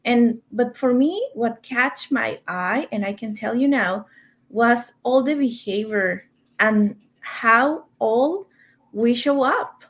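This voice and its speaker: A woman from Mexico with a Mexican accent speaks English as her second language in a fairly high voice. She talks earnestly and stops now and then to search for her words as a guest in a casual online interview. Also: slow pace. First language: Spanish. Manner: earnest, searching for words, small grammar slips.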